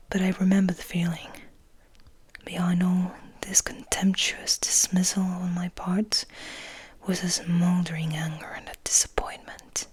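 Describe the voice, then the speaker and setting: A woman voicing a serene but bitter male vampire in a measured, formal, breathy whisper for a storybook reading. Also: lowish voice.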